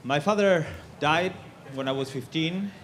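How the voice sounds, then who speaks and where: A man from Argentina doing a stand-up set on a comedy club stage, with a halting delivery full of fillers. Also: on the high side, fairly bright tone.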